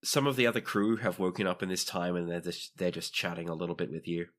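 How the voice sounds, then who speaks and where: A man with a deep voice runs a tabletop role-playing game. He narrates scenes as game master in a conversational, descriptive storytelling style. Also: fairly even intonation, fast.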